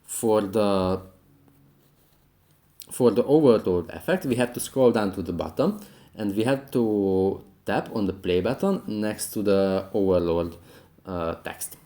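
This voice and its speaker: Low, mellow man giving a tutorial. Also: a little slow. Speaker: tutorial host. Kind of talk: scripted app tutorial narration.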